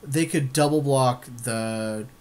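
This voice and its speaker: A man's voice: medium pitch, slow. A man co-hosting a gaming podcast, chatting casually in short, agreeable remarks while playing a card game. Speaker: podcast co-host commentating on own card game play.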